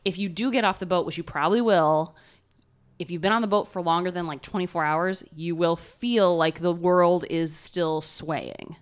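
Podcast co-host: loud, nasal woman, lowish voice, casual and laughing. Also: fairly even intonation, normal speed. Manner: loud talker, swears casually, laughs often. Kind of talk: casual chat.

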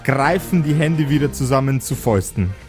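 A man's voice: medium pitch, lively intonation, moderate pace. Man narrating over prominent music. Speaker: game master. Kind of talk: narrating an improvised tabletop role-playing game.

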